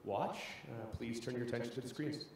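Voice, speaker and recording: A man's voice: mid-range voice, a little mellow, a little hiss or hum. Enthusiastic man announcing in an echoey theater. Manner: enthusiastic, slightly halting. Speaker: event presenter. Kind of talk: formal stage announcement. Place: large theater. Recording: echoey theater, faint ambience.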